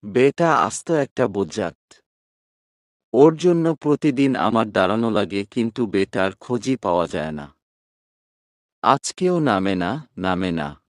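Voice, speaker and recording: A man's voice: lowish voice, lively intonation, measured pace, long pauses, no echo, clean studio sound. A man voicing a brash young female student who teases and scolds in bossy dialect, in an audio story. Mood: scolding. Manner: colloquial dialect, mocking and bossy.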